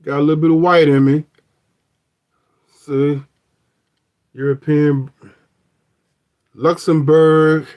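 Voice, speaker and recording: A man's voice: a little high, little pitch movement, slow, long pauses, darkish tone. A middle-aged man talking through his DNA results on a phone, rambling and joking with frequent restarts. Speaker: vlogger. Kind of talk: casual review of DNA results.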